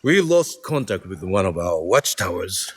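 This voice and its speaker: Older samurai lord, low register, measured and authoritative mentor, dry studio sound, game drama. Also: male voice, big pitch swings, slightly rough, dry recording, exaggerated voice. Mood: grave, commanding. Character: aging, battle-hardened male samurai lord, grave and commanding. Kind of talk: video game cutscene acting.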